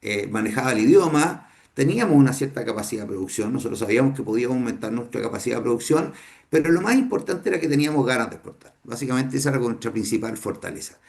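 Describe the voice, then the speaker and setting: A middle-aged Chilean businessman teaching a class, speaking Spanish with a Chilean accent and often pausing with fillers and restarts. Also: medium pitch, animated, vocal fry, darkish tone. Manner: unhurried, thinking aloud with many fillers and restarts. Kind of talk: lecture to students.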